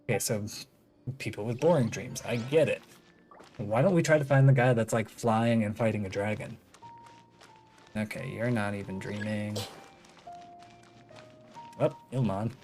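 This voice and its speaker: A man's voice: on the low side, measured pace, long pauses, darkish tone. Casual, wry male game streamer. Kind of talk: casual live gameplay commentary. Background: game music.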